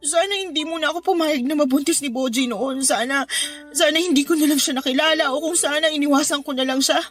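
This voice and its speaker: A woman's voice: high voice, fast, a bit bright, faint music behind. An actress in a radio drama playing a tired, tearful young mother who complains plaintively about her hard life. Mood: regretful. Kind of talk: Tagalog radio drama acting.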